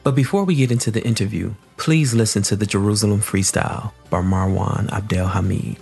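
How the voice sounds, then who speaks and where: A man's voice: lowish voice, a little fast, vocal fry, darkish tone, breathy edge, faint music behind. A male host introducing and interviewing a guest in a friendly, conversational way. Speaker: show host. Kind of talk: interview hosting.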